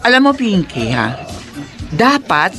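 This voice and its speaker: A domineering bar madam in a radio drama. She gives curt orders in a mid-pitched, swooping voice over clear background music. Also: big pitch swings, slow. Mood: stern, bossy. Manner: commanding, curt. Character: brusque, domineering madam running a girlie bar.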